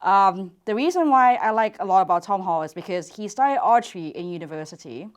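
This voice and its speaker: A young woman, speaking at a middling pitch. She answers questions earnestly and reflectively, often pausing to find her words. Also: lively intonation. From Thailand. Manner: earnest and reflective, with hesitant pauses. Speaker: archer and university PhD student.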